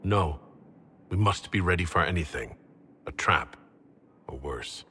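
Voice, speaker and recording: Game hero lead: resolute army leader, earnest, slightly low and crisp-edged. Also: male voice, animated, unhurried, pushed and bright, big-room sound, a little hiss or hum, exaggerated voice. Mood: determined. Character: determined male fantasy hero, leader of an army. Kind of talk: video game voice acting.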